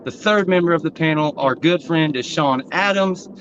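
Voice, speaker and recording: A man's voice: fairly high pitch, normal speed, lo-fi sound, noisy background. A man hosting a casual sports talk show, rambling with fillers and restarts. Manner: loose, rambling, filler-heavy. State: sweaty, rushed. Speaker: podcast host.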